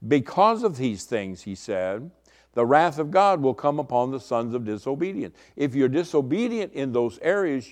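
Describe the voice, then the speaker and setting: Male Bible teacher, mid-range booming voice, emphatic and deliberate expository preaching delivery. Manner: emphatic, deliberate, instructive, with repeated phrases. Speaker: pastor teaching a Bible study. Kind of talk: expository sermon.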